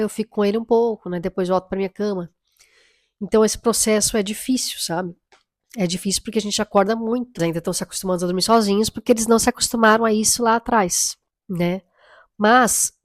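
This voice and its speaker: A hoarse woman, talking casually about motherhood while getting over a cold, chatty and reflective. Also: mid-range voice, dry recording, studio quality. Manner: chatty, reflective, often tags lines with "right?" and "you know?". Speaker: mother vlogger. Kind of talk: casual chat to camera.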